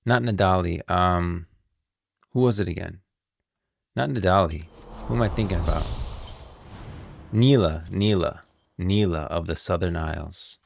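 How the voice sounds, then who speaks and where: Casting: informal male live streamer talking through game lore, low-pitched with vocal fry, dry studio-like sound. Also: unhurried, long pauses, a little hiss or hum. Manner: informal, thinking aloud. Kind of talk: casual lore commentary.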